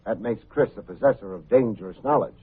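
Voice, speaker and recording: Raspy, wry hard-boiled private eye. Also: male voice, fairly low pitch, fast talker. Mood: probing, dry. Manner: dry, wisecracking, clipped noir phrasing. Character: wry, hard-boiled male private investigator, coolly unflappable. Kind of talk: 1950s radio detective drama acting. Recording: muffled phone-like vintage radio recording.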